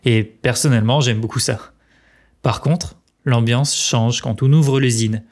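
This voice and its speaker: A man's voice: medium pitch, steady tone, measured pace, a little mellow. A man co-hosting a podcast, reading a prepared script in a conversational tone. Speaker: podcast co-host. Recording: music playing behind the voice. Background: music.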